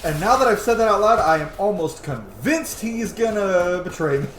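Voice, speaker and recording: A man's voice: high-pitched, very animated, moderate pace, a little hiss or hum. A man giving casual, informal and opinionated gameplay commentary as a let's play host. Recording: roomy echo, loud game music behind. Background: game music and sound.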